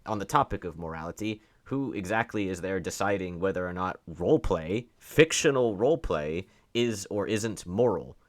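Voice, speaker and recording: Male online commentator, deep voice, emphatic and sardonic, delivering unscripted opinion with pointed rhetorical questions. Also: animated, moderate pace, hardly any pauses. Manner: emphatic, stressing words for effect. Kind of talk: opinionated off-the-cuff political commentary.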